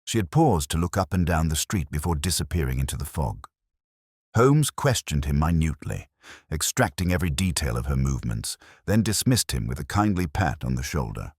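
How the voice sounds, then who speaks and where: A man with an extremely low voice narrates a scripted detective audiobook in a formal, measured way. His pitch moves expressively from phrase to phrase, and the sound is dry. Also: big pitch swings, darkish tone, husky, no room sound. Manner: formal, measured, literary storytelling.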